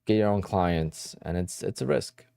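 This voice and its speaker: A man's voice: deep voice, animated, unhurried, creaky, mellow, clean tone, no echo. A man from New Jersey hosting a day trading livestream, chatting casually and rambling as he answers questions from his chat.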